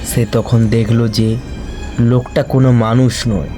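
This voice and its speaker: A man who narrates a cartoon horror story for his channel, telling it slowly and deliberately. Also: medium pitch, little pitch movement, music in the background.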